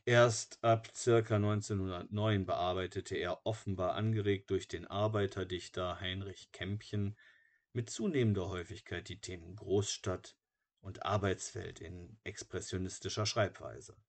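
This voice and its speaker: A man narrating a biography from a script in a measured, matter-of-fact way, like an audiobook. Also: low voice, fairly even intonation.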